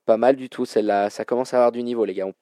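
A man's voice: medium pitch, steady tone, racing, warm tone, clear, resonant tone, no room sound, clean studio sound. A man hosting a basketball trivia quiz with casual, slangy banter. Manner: informal, colloquial slang. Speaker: quiz show host.

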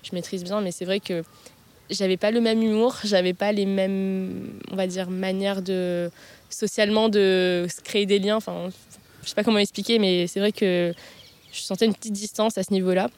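A woman's voice: medium pitch, steady tone, normal speed, a little mellow. A woman in her mid-twenties from Lebanon, chatting as a guest in a casual interview, hesitant and full of fillers, holding a handheld mic outdoors in a park. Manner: hesitant, many fillers and restarts.

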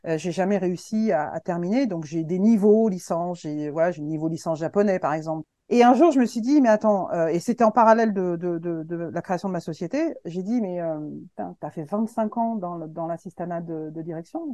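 A woman's voice: fairly low pitch, dark tone, dry recording. Encouraging woman in her fifties, dry sound. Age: 50s. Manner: earnest and encouraging, halting with frequent restarts. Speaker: guest, web agency founder. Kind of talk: casual podcast conversation.

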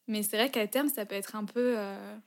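A woman's voice: medium pitch, normal speed. A young woman from France, co-hosting a podcast in casual chat, laughing often and trailing off with fillers. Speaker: podcast co-host.

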